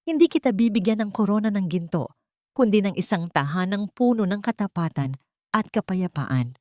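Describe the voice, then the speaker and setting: A man's voice: high voice, animated, moderate pace, a little mellow, no echo, clean studio sound. A woman storyteller voicing an earnest, devoted groom in a solemn, tender manner. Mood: solemn devotion. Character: earnest, devoted groom vowing love to his bride.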